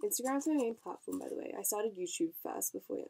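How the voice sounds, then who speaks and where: A woman's voice: mid-range voice, expressive, a little fast, creaky, dark tone, slight background noise. A young woman vlogger, congested from a cold, chatting bubbly and casually while unboxing packages. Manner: chatty, bubbly, lots of fillers and likes.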